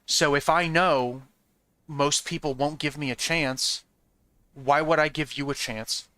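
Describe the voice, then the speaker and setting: Rambling, crisp-voiced male streamer. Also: on the high side, fairly even intonation, measured pace, pushed and bright, no echo. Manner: rambling, repeats "you know what I mean"; frank and profane. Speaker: streamer talking to chat. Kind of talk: casual chat with viewers while playing.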